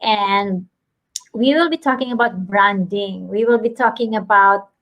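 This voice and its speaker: A woman's voice: medium pitch, measured pace, fairly bright tone, poor audio. Effusive Filipina woman hosting a talk show. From Philippines. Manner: effusive, chatty, gushing greetings. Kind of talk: casual online talk show.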